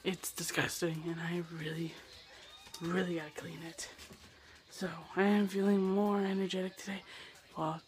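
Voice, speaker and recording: A man's voice: high-pitched, little pitch movement, moderate pace. A young man vlogging casually from his bedroom on a handheld camera, rambling and self-deprecating, with a voice that is wearing out. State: losing his voice. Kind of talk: casual vlog talk to camera. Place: own bedroom.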